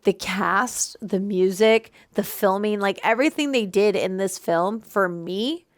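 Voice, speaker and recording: A woman at a middle pitch who gushes openly about what she felt, stacking phrases and repeating herself for emphasis. Her voice is recorded close and dry, with no room sound. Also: measured pace, dry recording. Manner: emotional, gushing, repeats herself. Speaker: movie reaction co-host. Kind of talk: casual chat.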